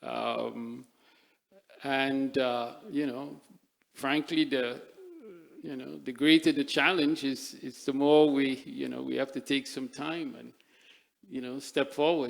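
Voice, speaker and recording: An older man from Trinidad giving a reflective conference talk, pausing often on fillers and restarting his sentences. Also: medium pitch, steady tone, creaky. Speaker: panel speaker. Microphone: microphone at a live event.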